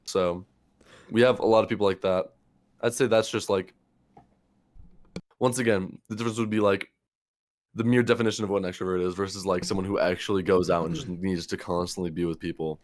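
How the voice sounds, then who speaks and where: A man's voice: low voice, steady tone, fairly quick, long pauses, darkish tone. A young man co-hosting a podcast, chatting loosely with lots of fillers and swearing. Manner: loose, profane, full of "like" fillers. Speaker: podcast co-host. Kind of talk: casual chat.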